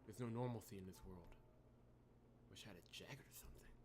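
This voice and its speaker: A man's voice: fairly low pitch, quick pace, noisy background, voice pushed down, natural voice. A man voicing a wary, gloomy visual novel protagonist, reading the game text aloud in a subdued, muttered, brooding way. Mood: uneasy.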